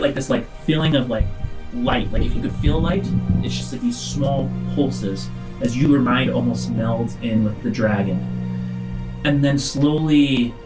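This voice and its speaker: A man improvising narration as game master, descriptive and halting, in short broken phrases. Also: medium pitch, poor audio.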